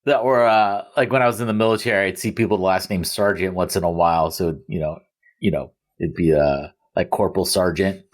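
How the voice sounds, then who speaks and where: Man, slightly low voice, podcast host, casual discussion, joking asides. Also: steady tone, brisk. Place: remote recording.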